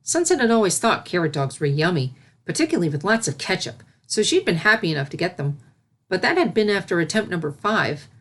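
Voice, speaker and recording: Female narrator for scripted fiction, slightly low register. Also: sing-song, a little fast.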